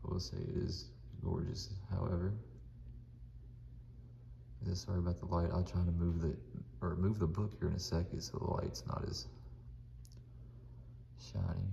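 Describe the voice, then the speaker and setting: Low gravelly guy doing a comic book read along, big echo, some noise behind. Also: flat delivery, moderate pace, long pauses, warm tone, lo-fi sound. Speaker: comic book channel host. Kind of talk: casual vlog talk and dramatic comic read-aloud narration. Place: indoor room. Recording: echoey reverberant room, audible background noise.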